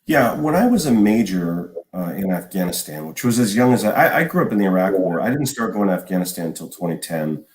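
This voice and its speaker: A man in his forties, a former army logistics officer, explaining at length in a podcast interview with a measured manner and many restarts. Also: deep voice, big pitch swings, a little mellow, reverberant. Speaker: guest, retired army logistics colonel.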